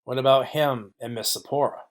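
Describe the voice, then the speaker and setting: A man's voice: mid-range voice, fairly even intonation, normal speed, lightly acted. A man playing a wry, businesslike private detective narrating and questioning in a noir audio play. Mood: businesslike probing.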